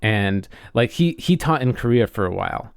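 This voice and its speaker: A man chatting casually and reflectively as a podcast co-host, often halting as he searches for words. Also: mid-range voice, expressive, vocal fry, smooth, dry recording, studio quality.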